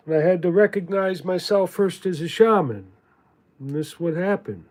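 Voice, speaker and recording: A man giving a contemplative spiritual monologue in a halting, deliberate way, repeating words for emphasis. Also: high voice, lively intonation, a little mellow. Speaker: spiritual teacher reading from own writings.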